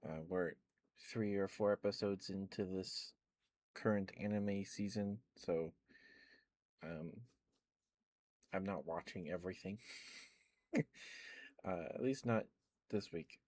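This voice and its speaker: A man with a very low voice talks slowly and unhurriedly, rambling with lots of fillers. He sits close to a fuzzy-covered mic, and the sound is dry and intimate. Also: fairly even intonation, darkish tone, no room sound. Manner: rambling, hesitant, many fillers. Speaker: ASMR streamer. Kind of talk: soft rambling ASMR chat.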